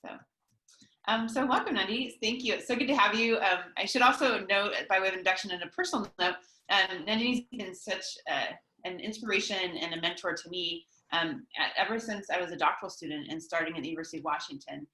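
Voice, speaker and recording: A woman's voice: medium pitch, fast talker, sharp tone. A woman moderating an online academic interview session, introducing a guest in a chatty way with frequent ums. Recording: echoey room.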